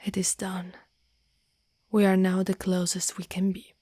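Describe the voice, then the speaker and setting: A woman voicing a devoted, lovestruck female dragon knight in human form, in an intimate, half-whispered ASMR roleplay with formal, halting phrasing. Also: mid-range voice, monotone, dark tone, slightly rough, no echo, studio quality. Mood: earnest, devoted. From Italy. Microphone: close microphone.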